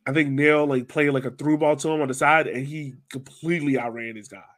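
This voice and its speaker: A man's voice: on the high side, steady tone, fairly quick, fairly bright tone. A man talking casually as a soccer podcast host, informal and thinking aloud as he goes. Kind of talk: casual soccer post-match discussion.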